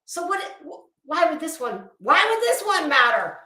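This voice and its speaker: A woman's voice: high-pitched, big pitch swings, moderate pace, pushed and bright, roomy sound. A woman delivering an opinionated online commentary rant, blunt, scolding, emphatic and sweary. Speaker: online commentary video host.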